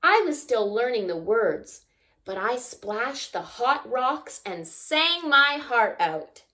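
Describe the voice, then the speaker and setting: A woman's voice: fairly high pitch, slow pace. A woman reading a picture book aloud to young children, expressively, with asides and questions to the listeners. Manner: expressive, acts out sounds and asks the listeners questions. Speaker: story time reader.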